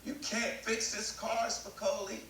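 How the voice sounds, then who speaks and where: A man's voice: high-pitched, little pitch movement, measured pace, fairly bright tone. A man acting an agitated teenage boy, someone's younger brother, in a live table read. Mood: panicked. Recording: echoey, hissy video call.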